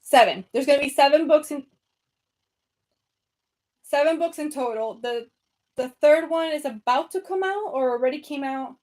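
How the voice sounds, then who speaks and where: A young woman with a Venezuelan accent talks to her viewers in a loose, rambling way, full of fillers and restarts. Her voice sits a bit high for a woman. She is at home, sorting books on her shelves as she talks. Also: moderate pace, long pauses, darkish tone. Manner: chatty, rambling, lots of fillers. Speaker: book vlogger. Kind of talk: casual chat with live viewers.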